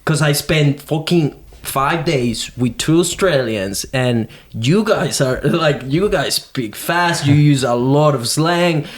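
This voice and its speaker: A man's voice: on the high side, slow pace, few pauses. A young man with a Venezuelan Spanish accent, a native Spanish speaker, chatting casually and informally as a podcast host. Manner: informal, animated slangy talk with swearing. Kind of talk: casual chat.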